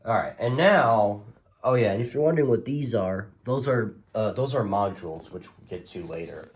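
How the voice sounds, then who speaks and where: A man with a fairly low voice walks through a collection in a casual showcase. He rambles informally and hesitates often as he presents. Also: lively intonation, normal speed. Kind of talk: casual product showcase narration.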